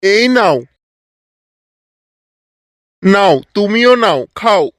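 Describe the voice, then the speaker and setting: Man doing a sly cartoon kidnapper, falsely kind, coaxing, slow, high male voice, dry sound. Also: expressive, a bit bright, no echo, heavily acted. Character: sly child kidnapper posing as kindly uncle. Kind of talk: comic cartoon voice acting.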